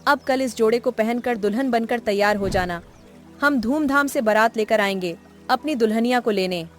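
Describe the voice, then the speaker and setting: A woman as a high-voiced, kind young sister-in-law. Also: moderate pace, soft background music, close to an everyday voice. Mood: affectionate.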